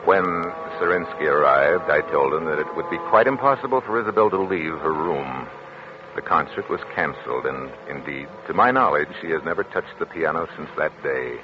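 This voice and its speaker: An actor playing a grave, protective physician husband with formal, measured delivery in 1940s radio style. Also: male voice, rumbling low, expressive. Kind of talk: 1940s radio acting, dramatic narration. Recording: muffled, hissy 1940s radio recording.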